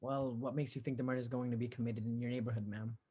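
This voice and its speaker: A woman playing a weary, placating police officer in a radio drama, husky-voiced, offhand and patronizing. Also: a bass voice, fairly even intonation, fast, dark tone. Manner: offhand, patronizing, brushing the caller off. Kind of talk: 1940s radio drama acting.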